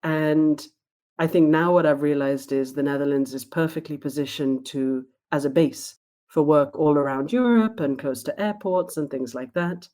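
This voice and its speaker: A woman who grew up in Spain, speaking as a guest in a podcast interview in a thoughtful, measured way. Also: sits low, mellow. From born in Sweden, raised in Spain. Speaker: guest, diversity trainer.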